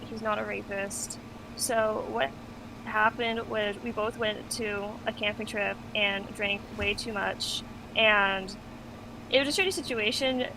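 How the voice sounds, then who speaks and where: A woman's voice: a little high, noisy background. A young woman explaining something casually and plainly in a recorded voice chat. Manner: plain-spoken, informal.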